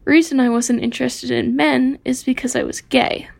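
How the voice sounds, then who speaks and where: A listener reads their own story with a wry, pointed edge. The voice sits high and carries a strong gravelly fry throughout. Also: fairly even intonation, moderate pace. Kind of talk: read personal testimony.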